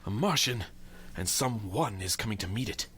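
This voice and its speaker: An actor playing a grim, commanding war pilot and Martian hunter in a pulp radio drama, stern and declamatory. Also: male voice, on the low side, expressive, moderate pace, sharp tone, breathy edge, exaggerated voice. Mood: grim brooding.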